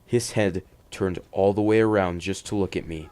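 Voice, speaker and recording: A man hosting and reading horror stories in an expressive, dramatic way, moving between hushed and emphatic delivery. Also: low voice, normal speed, smooth. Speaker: horror story reader and host. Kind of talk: dramatic first-person horror narration with casual commentary.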